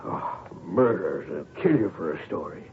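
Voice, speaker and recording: An actor playing a protective middle-aged father and sports coach in a radio drama, with colloquial, fussing, halting speech. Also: low-pitched, expressive, creaky. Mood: flustered, protective. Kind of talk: vintage radio drama acting. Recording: tinny, noisy old radio broadcast.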